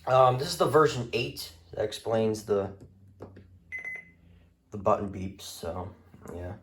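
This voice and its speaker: A man's voice: fairly low pitch, animated, takes it slowly, a little hiss or hum. A man giving a casual, rambling show-and-tell as a hobbyist, offhand and hesitant, sometimes muttering to himself. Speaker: hobbyist showing a radio collection.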